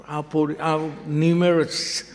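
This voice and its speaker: A man with a Thai accent moderating a conference, speaking slowly and deliberately with halting repeats. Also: on the high side, flat delivery, big-room sound. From Thailand. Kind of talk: formal conference remarks. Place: large conference hall.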